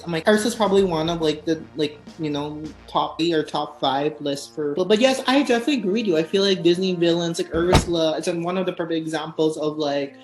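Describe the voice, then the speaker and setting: Woman host gabbing casually, says like a lot, echoey room sound. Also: on the low side. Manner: chatty, lots of "like" fillers, laughs easily. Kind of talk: casual chat.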